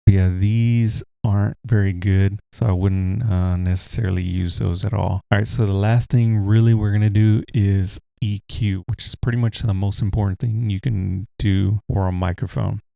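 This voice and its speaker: A man with a deep voice giving a relaxed, rambling tutorial from home, talking into a desk mic without a pop filter. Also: moderate pace, gravelly, few pauses, no echo. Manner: relaxed, rambling explanations with fillers. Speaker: tech tutorial host. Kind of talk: casual screen-recorded tutorial. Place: home room with a heater running. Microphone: USB desk mic without a pop filter.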